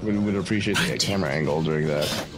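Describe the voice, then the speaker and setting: A man's voice: low-pitched, quick pace. A man giving casual co-commentary on a speedrun, joking and asking mock-skeptical questions. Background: video game music.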